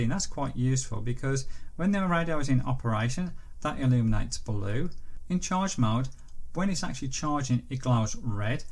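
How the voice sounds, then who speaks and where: A man's voice: medium pitch, darkish tone. A man presenting a hands-on product review in a chatty, explanatory way. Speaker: presenter of a radio review channel.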